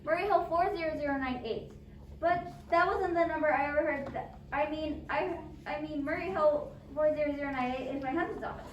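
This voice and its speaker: A woman's voice: sits high, fairly quick, highs cut off, natural voice. A woman playing an anxious, demanding bedridden wife in a suspense play, stammering and insistent. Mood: nervous, explaining. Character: anxious, demanding bedridden invalid wife, fretful and self-absorbed. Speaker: amateur drama club actor. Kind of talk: stage adaptation of a radio suspense play. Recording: roomy echo, distant mic, background noise.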